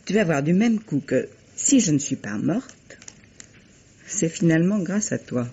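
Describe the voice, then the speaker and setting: Husky, composed wife, old radio drama. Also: female voice, deep voice, very animated, measured pace, mellow, heavily acted. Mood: explaining. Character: composed, clever wife who survived her poisoner. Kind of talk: 1950s French radio mystery acting. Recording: dull vintage radio recording.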